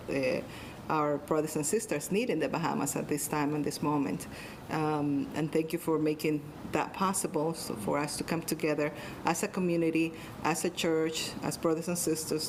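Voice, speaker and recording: A woman with a very low-pitched voice speaks as an interview guest. Her delivery is unscripted, halting and devout, and a strong rumbling noise sits behind her. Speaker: guest doctor. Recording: loud rumbling background noise.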